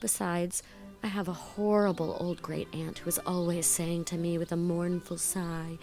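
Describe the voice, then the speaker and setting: Woman acting chatty indecisive young girl, vain, gushing, lowish voice, faint music behind. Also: flat delivery, normal speed, lightly acted. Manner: gushing, breathless chatter with playful emphasis. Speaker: voice actor. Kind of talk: audio drama acting.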